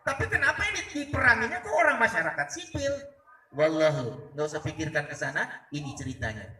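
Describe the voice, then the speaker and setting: A man's voice: fairly high pitch, lively intonation, brisk, sharp tone. A man telling a story aloud to listeners in a conversational, dramatic manner, asking rhetorical questions. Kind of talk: animated oral storytelling to live listeners. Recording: echoey room, distant mic.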